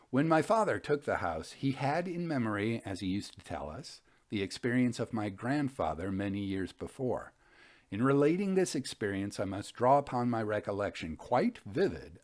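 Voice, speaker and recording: A man narrates an old tale as a storytelling host. His voice sits at a medium pitch and moves widely up and down. The delivery is scripted, expressive and dramatic. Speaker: podcast host reading old ghost stories.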